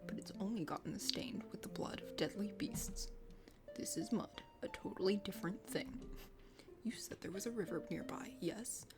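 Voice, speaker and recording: A woman's voice: mid-range voice, normal speed, whispered, over music, voice pushed down, close to an everyday voice. A woman voicing a haughty, vain young warrior prince in a video game read-aloud, fussy and indignant. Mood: haughty, huffy. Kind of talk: breathy ASMR game character read-aloud.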